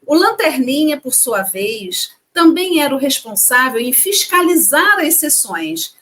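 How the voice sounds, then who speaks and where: A woman's voice: sits high, very animated, unhurried, pushed and bright. A woman co-hosting a casual chat, reading parts of a script and talking chattily to viewers. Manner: chatty, addresses audience as "gente". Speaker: co-host.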